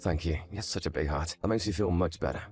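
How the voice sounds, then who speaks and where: A man playing an earnest, devoted young husband in a scripted audio story, with an expressive delivery. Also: low-pitched, fast, soft background music, natural voice. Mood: earnest, grateful.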